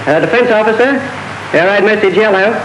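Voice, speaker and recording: A man's voice: high-pitched, brisk, roomy sound, noisy background, lightly acted. A man playing a serviceman in a 1940s training film, passing on alerts in brief, plain military phrasing.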